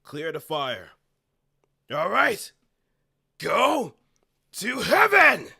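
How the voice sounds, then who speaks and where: A fierce male mecha pilot , acted by a man, declaims battle lines from a robot game. The voice is forceful and slow, with big swings in pitch and melodramatic resolve. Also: wildly swooping pitch, vocal fry, breathy edge, dry recording, clean studio sound, heavily acted. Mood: battle fury. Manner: dramatic, declamatory.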